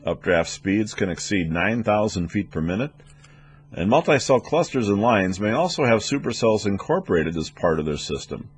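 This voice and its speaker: Low, husky male instructional narrator. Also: big pitch swings, moderate pace. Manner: explanatory, methodical. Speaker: weather instructor for air traffic controllers.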